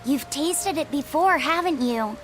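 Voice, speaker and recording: A woman playing a gentle, earnest young boy in a video game, speaking softly and hesitantly. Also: high voice, lively intonation, unhurried, music in the background. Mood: shy, earnest. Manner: soft, hesitant, polite. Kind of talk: English video game voice acting.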